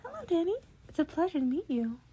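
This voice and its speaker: Woman voicing a high-pitched, inquisitive little animal principal. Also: big pitch swings, fast, mellow, slight background noise. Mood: confident, pleased. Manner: polite, formal, inquisitive. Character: small furry animal school principal, giddily curious, confident, probing.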